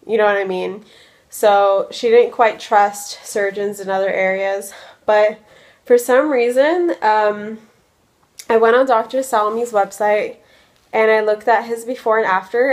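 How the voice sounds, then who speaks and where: A woman in her early twenties chatting casually in a vlog, drowsy from medication, rambling with lots of likes and ums. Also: mid-range voice. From United States. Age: 20s. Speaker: vlogger.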